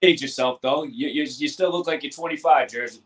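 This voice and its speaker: Man, thirties, average-pitched voice, casual conversational co-host. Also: a little fast. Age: 30s. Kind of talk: casual chat.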